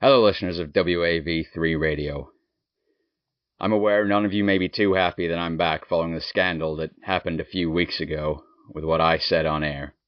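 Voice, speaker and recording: A man's voice: deep voice, dry recording, dull audio. A man playing an earnest radio announcer turned whistleblower in an audio drama.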